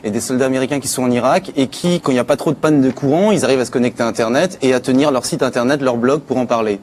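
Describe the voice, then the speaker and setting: A man's voice: mid-range voice, very fast, darkish tone, a little hiss or hum. A man giving an informal spoken chronicle on a live talk show, as a news contributor. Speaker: contributor presenting internet news.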